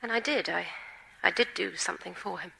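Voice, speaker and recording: Meek despairing secretary, creaky, sharp bright voice, halting confession, radio drama. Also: female voice, lowish voice, exaggerated voice, low-quality recording. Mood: wistful confessing. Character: desperate, lovelorn female personal assistant, meek and despairing. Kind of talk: radio comedy drama acting.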